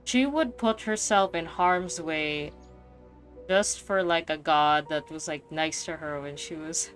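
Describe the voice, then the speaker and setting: A woman doing casual, expressive, reactive commentary as she plays a video game. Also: low-pitched, takes it slowly, faint music behind.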